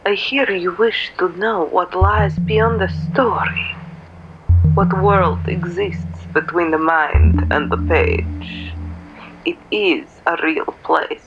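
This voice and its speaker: A woman playing an unhinged professor in an audio drama, giving cryptic, hushed, ominous warnings. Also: on the low side, slow pace. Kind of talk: scripted audio drama acting. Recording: dull muffled recording under loud guitar music.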